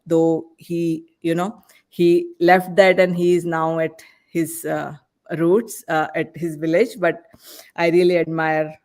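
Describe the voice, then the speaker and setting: A woman hosting an online panel discussion, introducing guests with hesitant, halting phrasing. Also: deep voice, gravelly, a little mellow. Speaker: podcast host introducing guests.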